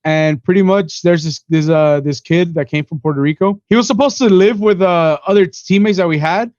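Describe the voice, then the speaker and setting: Young guy from miami on a podcast, kinda high voice, says "you know" and "bro" constantly, dullish dry mic. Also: normal speed, darkish tone, no echo, highs cut off. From Miami, USA. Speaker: podcast guest, event organizer. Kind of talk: casual storytelling chat.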